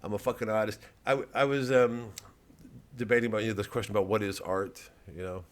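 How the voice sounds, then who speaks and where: A man's voice: fairly low pitch, steady tone, fast talker. A man giving a rambling, improvised satirical monologue, sardonic and profane, often digressing as he goes. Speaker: satirical comedian.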